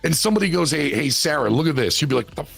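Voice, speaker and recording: A man in his late thirties, co-hosting a podcast in casual chat, animated and profane, often asking "you know what I'm saying". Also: on the high side, racing, vocal fry, husky. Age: 30s. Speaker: podcast co-host.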